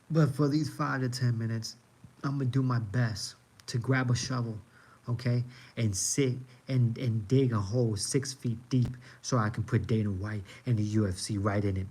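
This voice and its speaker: A man from New York City with an American accent, ranting casually on a livestream in an emphatic, repetitive way. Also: mid-range voice. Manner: emphatic, repeats himself for effect. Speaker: live streamer.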